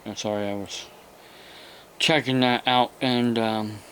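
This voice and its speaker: An American man hosting an informal, unscripted hobby vlog, talking in a halting, rambling way with long pauses. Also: medium pitch, fairly even intonation, a bit bright, a little hiss or hum. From United States. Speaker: YouTube radio hobby host.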